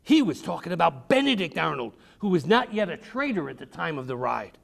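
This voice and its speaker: A man reading his own book aloud to children, in a dramatic, deliberate storytelling style with pauses. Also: on the high side, very animated, creaky, fairly bright tone. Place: library, reading to a group of children.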